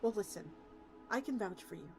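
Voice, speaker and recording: A woman's voice: mid-range voice, lively intonation, brisk, natural voice. A woman voicing a practical, sympathetic woman from a search team in a story, reasoning tactfully. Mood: conciliatory. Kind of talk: character reading of a story. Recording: loud music behind the voice.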